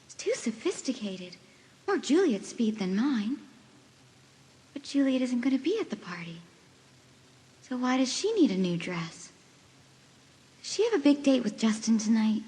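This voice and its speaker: A woman's voice: on the high side, very animated, moderate pace, long pauses, dark tone, husky. A woman voicing a worried teenage girl who talks in urgent, questioning bursts in an audio drama. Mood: puzzled.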